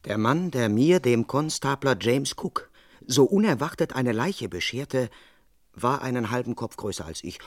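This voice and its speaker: A young male police constable played by a radio actor in a vintage crime play, with a medium-pitched voice in a very dry, close sound. He is earnest and dutiful, a bored but ambitious beat officer given to musing. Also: no room sound, lightly acted. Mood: earnest, observant. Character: bored, ambitious 24-year-old London police constable, eager and deferential. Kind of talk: 1970s German radio play acting, monologue and dialogue.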